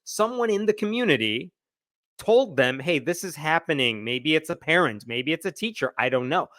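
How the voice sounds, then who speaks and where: Male livestream commentator, slightly high pitch, conversational, emphatic, sardonic, opinionated, dry sound. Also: lively intonation, normal speed, pushed and bright, no echo. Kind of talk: casual opinionated news commentary.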